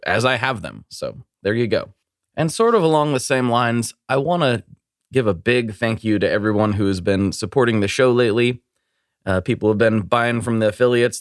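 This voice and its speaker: A congested man with a fairly low voice hosts a podcast in a rambling, apologetic way. The sound is dry and close, with little room in it. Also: clear, resonant tone, dry recording. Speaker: podcast host. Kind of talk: casual podcast chat.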